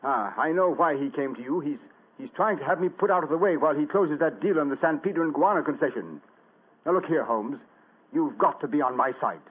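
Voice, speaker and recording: A man's voice: on the high side, lively intonation, fairly quick, husky. A man playing an agitated, suspicious businessman, stammering and insistent, in an old radio drama. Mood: agitated, pleading. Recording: tinny band-limited old radio.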